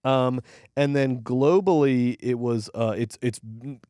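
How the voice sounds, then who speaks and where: A man's voice: mid-range voice, a little slow, mellow, clear, resonant tone, no echo, clean studio sound. A man co-hosting a movie podcast, talking casually with lots of fillers, restarts and swearing. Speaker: podcast co-host.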